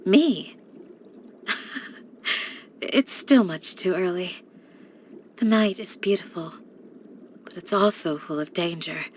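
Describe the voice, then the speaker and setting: A devoted young woman who guards a desert village in a video game. Her medium-pitched voice is measured and composed, with formal, gentle phrasing. There is some noise behind the voice. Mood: gentle, caring. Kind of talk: scripted game character voice lines.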